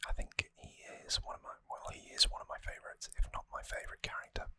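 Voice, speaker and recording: An adult ASMR host whispering softly and hesitantly in an unhurried ramble, close to the microphone.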